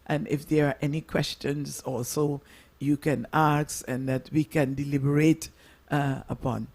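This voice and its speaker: A man hosting a local radio program, introducing and interviewing guests in halting, wordy, rather formal phrasing. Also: a little high, fairly even intonation, vocal fry, darkish tone.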